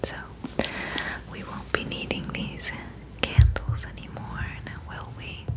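A young woman in her twenties playing a friendly forest witch in an ASMR roleplay, whispering breathily and gently, close to the microphone. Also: close to an everyday voice. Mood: soft, unhurried. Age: 20s. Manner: slow, gentle, reassuring. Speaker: ASMR performer.

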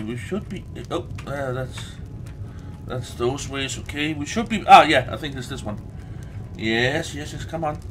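A man speaking English as a second language hosts a casual game playthrough. His voice is bright and forward, and he often stalls to search for a word, tossing in mild swearing. There is faint noise behind him. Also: fairly high pitch. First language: not English. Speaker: let's play host. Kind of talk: casual gameplay commentary.

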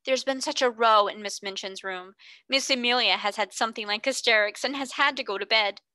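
A woman reads as an eager schoolgirl passing on gossip, with a bright, slightly high voice. The recording is close and dry. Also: fairly quick, dry recording.

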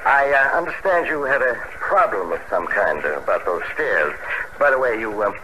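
An actor playing a hesitant, forgiving office boss in an old radio drama, speaking haltingly. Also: male voice, medium pitch, expressive, sharp tone. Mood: businesslike. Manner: halting, hedging. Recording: noisy vintage radio recording.

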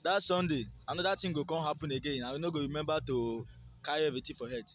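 Young Nigerian man, higher-pitched voice. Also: quick pace, a bit bright, slight background noise. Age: young adult. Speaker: street interviewee. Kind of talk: casual street interview in Pidgin. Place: outdoors by a garden wall. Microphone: phone held near the mouth by interviewer.

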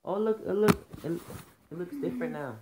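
A man's voice: high voice, lively intonation, fairly quick. A man trash-talking and teasing his opponent while playing a pool game in casual chat. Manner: teasing, boastful trash talk. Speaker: gameplay co-player. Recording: noisy home recording.

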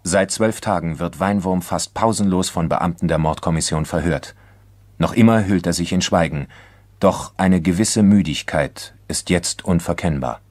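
A man narrating a crime radio play as a sober chronicler, formal and measured. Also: low voice, darkish tone, a little hiss or hum, lightly acted. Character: sober male narrator of a true-crime case. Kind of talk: scripted documentary crime radio-drama narration.

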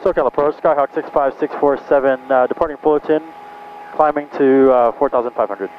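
Quick-talking male pilot on the radio. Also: mid-range voice. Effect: aviation radio. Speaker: pilot making radio calls. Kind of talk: radio phraseology, somewhat hesitant. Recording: muffled aircraft radio with engine noise.

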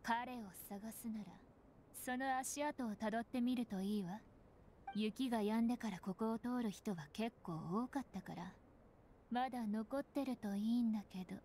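A woman's voice: on the high side, moderate pace, dark tone, slight background noise, close to an everyday voice. A woman playing a cool, sardonic young nun in an anime game, curt and dryly cutting. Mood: coolly sardonic. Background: game background music.

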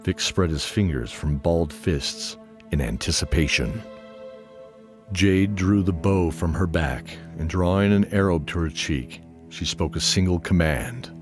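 A man's voice: sits low, unhurried. A man giving scripted narration for a fantasy audio drama. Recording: music under the voice. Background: music.

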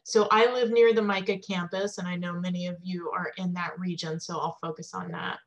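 A woman's voice: on the low side, flat delivery, fairly quick, lo-fi sound. Composed young woman on a video call. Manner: clear, composed, prepared. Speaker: assistant curator and design faculty. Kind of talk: webinar self-introduction.

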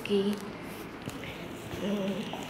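A woman's voice: medium pitch, monotone, very slow. A woman with a Filipino accent giving a casual walk-through tour, somewhat hesitant as she searches for words. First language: Tagalog. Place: tiled rooms and corridors of a small gym, indoors. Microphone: handheld phone behind the camera. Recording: echoey indoor rooms, clear background noise.

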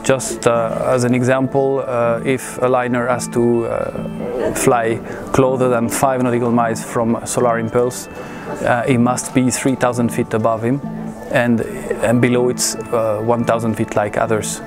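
Male, 40s, English, flat intonation, halting interviewee delivery, music underneath. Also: mid-range voice. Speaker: head of air traffic control. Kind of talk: interview answers. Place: busy air traffic control room. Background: background music.

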